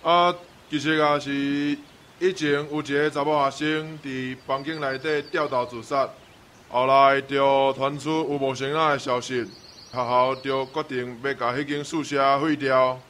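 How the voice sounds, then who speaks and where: A man's voice: medium pitch, steady tone, measured pace, fairly bright tone, a little hiss or hum, natural voice. A man playing an elderly, chatty school janitor in a radio drama, with a Taiwanese accent and folksy interjections. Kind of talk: student radio drama acting.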